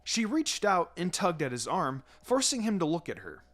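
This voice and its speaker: A man reading a story aloud as an expressive narrator. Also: a little high, normal speed. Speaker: fan fiction narrator. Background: background music.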